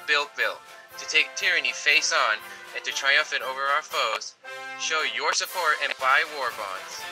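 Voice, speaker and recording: A man's voice: mid-range voice, lightly acted, low-quality recording. Man acting rousing 1940s radio announcer over music. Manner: rousing, declamatory. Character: earnest patriotic 1940s radio war bond announcer.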